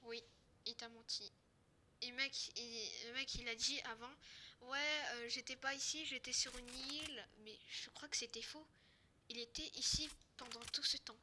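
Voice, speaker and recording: A noisy homemade game roleplay with a high, young voice, a woman acting. The character is a confused but forceful half-zombie survivor of an apocalypse, talking in halting, repetitive, casual bursts. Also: steady tone. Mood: puzzling, scheming. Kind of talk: improvised amateur game roleplay. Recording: noisy home recording with clicks.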